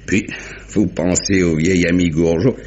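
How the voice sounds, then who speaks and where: An actor playing a genial, methodical police inspector, recapping deliberately in a theatrical mid-century French radio mystery manner. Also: male voice, low voice, fairly even intonation, a little mellow. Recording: dull vintage radio drama recording.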